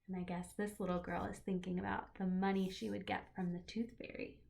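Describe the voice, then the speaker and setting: A woman's voice: mid-range voice, level tone, fairly quick, gravelly, a little mellow, slight background noise. A woman teacher reading a children's nonfiction picture book aloud, expressively stressing key words and adding short explanatory asides.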